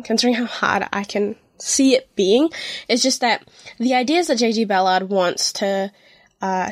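A woman hosting a podcast in a rambling, chatty way, her voice a little croaky and nasal from being unwell. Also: medium pitch, no echo. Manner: rambling, chatty, frequent ums and apologies. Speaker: podcast host. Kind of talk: casual solo podcast chat.

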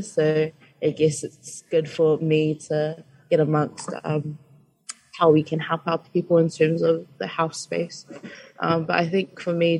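A young woman from New Zealand, a student guest talking in a soft, hesitant way with many pauses. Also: deep voice, poor audio. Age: young adult. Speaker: student association exec member, guest. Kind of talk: casual radio chat.

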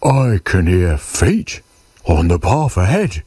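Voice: low voice, big pitch swings, takes it slowly, darkish tone, breathy edge, no room sound, heavily acted. A performer voicing a big, gullible storybook monster, lumbering and easily amused, stumbling over repeated words. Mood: amused, wondering. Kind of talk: storybook character voice.

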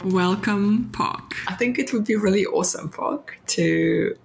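A woman hosting a podcast from home, asking relaxed questions full of "like". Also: medium pitch, a little slow, creaky, over music. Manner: relaxed, filler-heavy questions. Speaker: podcast host. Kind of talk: casual podcast chat.

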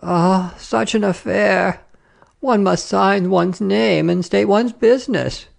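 A woman's voice: mid-range voice, takes it slowly, gravelly, voice pushed up, full character voice. A woman voicing a prim, nosy, smugly triumphant Frenchwoman from a story, with an insinuating, emphatic delivery. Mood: smugly solemn.

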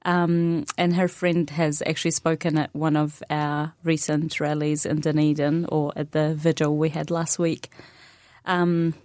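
Palestinian woman, native arabic speaker, young adult, low voice, soft-spoken, many pauses, dry studio sound. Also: flat delivery, dry recording. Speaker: interview guest. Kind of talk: radio interview conversation.

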